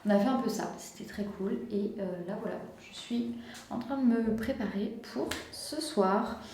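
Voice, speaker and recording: A woman's voice: on the high side, moderate pace, mellow, a little hiss or hum. A young woman from France chatting casually and enthusiastically to camera in a lifestyle vlog, full of casual slang. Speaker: lifestyle vlogger. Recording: echoey room.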